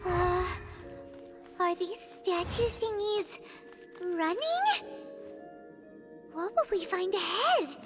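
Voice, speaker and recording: A woman voicing a small, childlike, cheeky floating fairy companion in a video game, squeaky and excitable. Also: takes it slowly, long pauses. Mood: curious. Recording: game audio with music behind.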